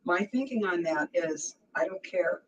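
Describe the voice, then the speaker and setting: A woman's voice: lowish voice, lively intonation, a little slow, highs cut off, slight background noise. A woman in her sixties with a strong voice, hosting a casual livestream and chatting easily with her viewers, often laughing. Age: 60s. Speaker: livestream host.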